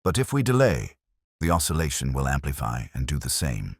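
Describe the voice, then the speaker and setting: A man narrating a story as a weary, fatalistic old inventor who speaks gravely and formally. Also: extremely low pitch, wildly swooping pitch, fairly quick, no echo, clean studio sound, full character voice.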